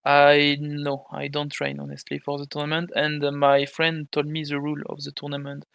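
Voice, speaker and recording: A French man speaking English with a French accent, a tournament player answering interview questions haltingly while searching for words. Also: a little high, monotone, vocal fry, fairly bright tone, smooth, no echo. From France. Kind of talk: casual interview over voice chat.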